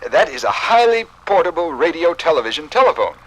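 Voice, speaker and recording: An actor playing a pompous, precise professor from the future in a 1950s radio drama, with formal diction. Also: male voice, a little high, big pitch swings, brisk, rough recording. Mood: pompous, explaining.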